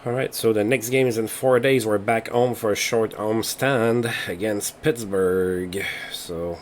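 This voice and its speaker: A man's voice: lowish voice, fairly even intonation, unhurried, slight background noise. A man, not a native English speaker, hosting a let's play and talking casually through game results as he thinks aloud. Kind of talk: casual gameplay commentary.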